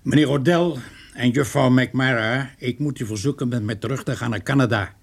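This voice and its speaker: A coolly suspicious police inspector in a radio play. His mid-pitched voice is rough and gravelly, with clipped, sardonic questioning. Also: moderate pace. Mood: sceptical, stern. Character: sceptical male police inspector, coolly interrogating. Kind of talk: radio drama dialogue.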